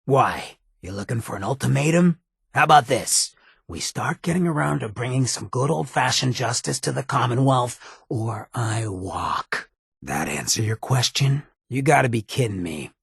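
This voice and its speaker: Raspy, swaggering ghoul outlaw. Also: male voice, medium pitch, expressive, moderate pace, no echo, clean studio sound, exaggerated voice. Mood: irritated, stern. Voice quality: gravelly, raspy rasp. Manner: drawling street slang, cocky and laid-back. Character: roguish ghoul outlaw companion, swaggering, loyal, sardonic. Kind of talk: video game companion dialogue.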